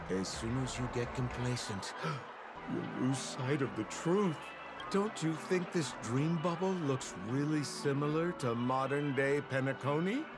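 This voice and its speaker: An actor playing a flamboyant, teasing trickster in a video game, theatrical and mocking, with a smugly cryptic, insinuating manner. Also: male voice, a little high. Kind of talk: video game character dialogue. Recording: background music under the voice.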